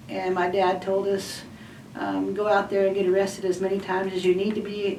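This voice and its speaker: A woman in her forties from Washington State giving a personal recitation in a halting, list-like way with many pauses and ums. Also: medium pitch, darkish tone, slight background noise. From Washington State, USA. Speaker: student speaker. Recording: roomy echo, distant mic.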